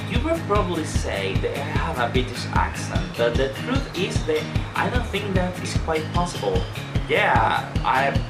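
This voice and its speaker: Man, young adult, casual vlog to camera, opinionated, roomy recording, loud background music. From Venezuela. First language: Spanish. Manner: chatty, opinionated, swoops up in pitch for emphasis. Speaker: vlogger and former English teacher.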